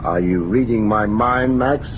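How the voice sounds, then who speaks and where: An actor playing a wry, wisecracking American hardboiled detective in an old-time radio drama, with dry, theatrical phrasing. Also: male voice, lowish voice, a little slow, close to an everyday voice. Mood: brisk, sardonic. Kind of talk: old-time radio detective acting and first-person narration. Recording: dull old radio broadcast, music, vehicle noise.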